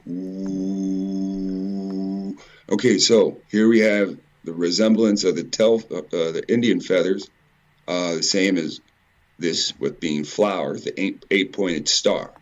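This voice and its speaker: A man giving informal, rambling commentary in a halting way, repeating words and swearing mildly now and then. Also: low-pitched, steady tone. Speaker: video presenter. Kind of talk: informal rambling commentary over on-screen images.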